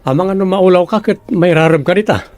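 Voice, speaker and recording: A man's voice: sits high, fast, a little mellow, faint music behind, close to an everyday voice. A man with an Ilocano accent playing a relative of a sick young man in a radio drama. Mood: teasing. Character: male family member of a sick young man, by turns teasing and patient.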